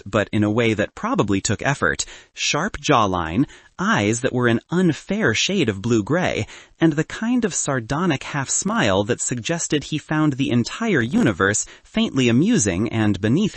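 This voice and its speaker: A man reading a scripted first-person story as an audiobook narrator, with an expressive, wry delivery. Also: mid-range voice, moderate pace, slightly rough, no room sound.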